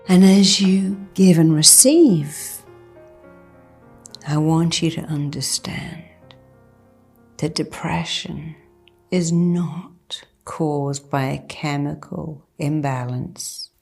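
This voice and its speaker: A woman with a low, gravelly voice leads a guided meditation. She takes her time, repeating key phrases with deliberate, reassuring emphasis, like a therapist instructing a client. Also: a little mellow. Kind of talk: guided meditation, soothing hypnotherapy-style instruction.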